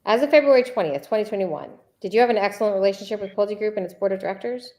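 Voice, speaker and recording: A woman reading a deposition transcript as a persistent attorney who needles a witness and repeats questions deliberately. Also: medium pitch, dark tone, close to an everyday voice. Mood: insistent, exasperated. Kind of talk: read-aloud deposition transcript.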